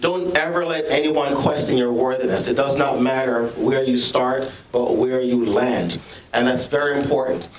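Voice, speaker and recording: A man from Jamaica with a Jamaican accent, giving an earnest, measured speech as a school administrator at a student ceremony, often restarting his sentences. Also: mid-range voice, steady tone, a little hiss or hum. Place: school library, large room. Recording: echoey room, from far off.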